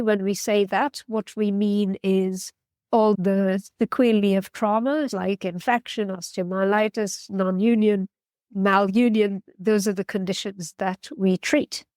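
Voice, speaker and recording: A woman guest from Guyana explains her work in a practical, matter-of-fact way. Her voice sits at a middle pitch and is recorded close and dry, with no room sound. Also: darkish tone, dry recording. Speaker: orthopedic surgeon guest. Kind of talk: interview answers.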